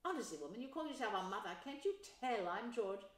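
A woman putting on a loud, cheeky teenage boy who teases and jokes, pitched well up from her own voice. Her reading rings in a slightly echoey room. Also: sing-song, fast. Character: cheeky teenage red-haired twin boy, joker.

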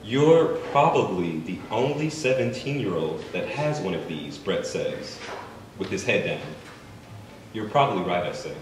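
A man reading his own fiction aloud at an open mic in a bookstore, measured and deliberate. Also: sits low, big pitch swings. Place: bookstore event space, indoors. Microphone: stand mic, recorded by a far camera. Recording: echoey room from far off, faint noise.

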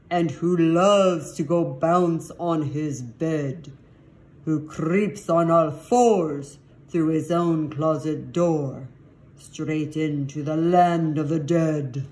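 A woman's voice: low voice, fairly even intonation, very slow, dark tone. A woman voicing a great, solemn Sphinx chanting a riddle emphatically in a storybook reading. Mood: solemn, challenging.

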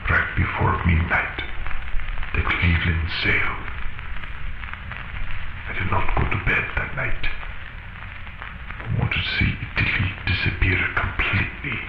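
A man's voice: sits low, a little slow, pauses often. An actor playing a wistful Italian immigrant man in a solemn, reflective dramatic monologue, with dull, noisy, roomy old-film sound. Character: wistful Italian immigrant man, a silent-era actor recalling his voyage.

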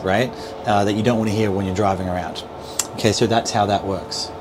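Middle aged guy doing a casual how-to, mid pitched voice, steady background noise in a small boat cabin. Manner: explanatory, step-by-step, checks in with "right?". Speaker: boat dealer instructor. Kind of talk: informal how-to demonstration. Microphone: clip-on lavalier mic.

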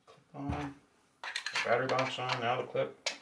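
A man giving a casual hands-on tutorial, talking in short, halting remarks as he works. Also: mid-range voice, little pitch movement. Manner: halting, muttered step-by-step remarks while working with hands. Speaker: hobbyist demonstrator. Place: indoors at a tabletop workbench. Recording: distant mic, clear noise, clicks.

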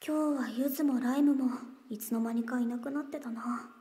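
A woman playing a gentle, earnest teenage schoolgirl in a Japanese anime game, with a soft, hesitant, friendly manner. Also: high-pitched, steady tone, fairly quick, husky, no room sound. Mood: pensive.

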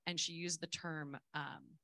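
A woman's voice: deep voice, steady tone, a little slow, sharp tone, no echo. A middle-aged woman reflecting aloud, unscripted, as a panelist on a conference stage.

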